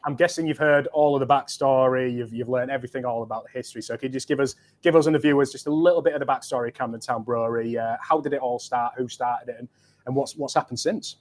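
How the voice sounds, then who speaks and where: A man's voice: medium pitch, steady tone, fast. A man with a Yorkshire accent hosting a live online stream, chatty and upbeat as he reads out viewer comments. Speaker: livestream host. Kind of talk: casual live stream chat.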